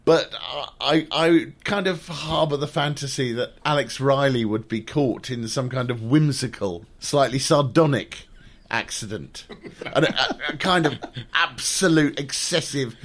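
A man's voice: mid-range voice, measured pace, fairly bright tone. A man guest on a podcast telling wry anecdotes in casual chat, with hesitant restarts.

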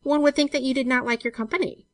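Woman acting expressive, high-voiced young sweetheart. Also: no echo, studio quality, natural voice. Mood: playful. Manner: light, expressive, quick to startle. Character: playful, affectionate, nervy young woman in love. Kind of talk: dramatised storybook reading.

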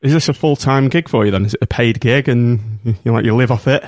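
A man's voice: medium pitch, quick pace, a little mellow, dry recording, clean studio sound. A man hosting a casual podcast chat, friendly and rambling with many restarts. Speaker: podcast host.

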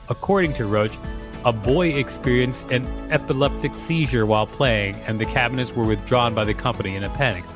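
Man narrating over prominent music. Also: medium pitch. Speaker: podcast co-host reading an encyclopedia article. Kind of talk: scripted narration with brief casual asides. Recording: music behind the voice. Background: instrumental music.

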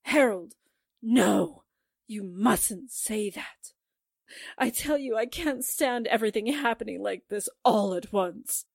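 A woman playing a scheming former film star widow in a 1940s-style radio drama, theatrical and halting in delivery. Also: mid-range voice, lively intonation, vocal fry, darkish tone, husky, no echo, clean studio sound, full character voice. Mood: anguished, pleading.

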